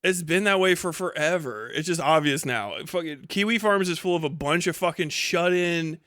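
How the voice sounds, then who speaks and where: A man with a fairly high voice rants off the cuff to his livestream chat. He swears constantly and sounds mocking and animated. The sound is dry and close, as on a streaming mic. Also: normal speed, dry recording. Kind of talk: casual livestream rant to chat.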